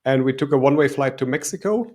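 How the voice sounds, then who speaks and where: A man's voice: medium pitch, animated, fast talker, a little mellow. A middle-aged man from Germany with a German accent, a life coach answering interview questions in a reflective, somewhat hesitant way.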